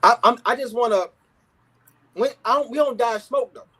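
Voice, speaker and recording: A man with a notably high voice hosts a loose livestream talk show. He talks in easygoing slang and keeps checking in with listeners. His delivery is relaxed and conversational. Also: moderate pace, breathy edge. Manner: laid-back slang, repeats "you feel what I'm saying".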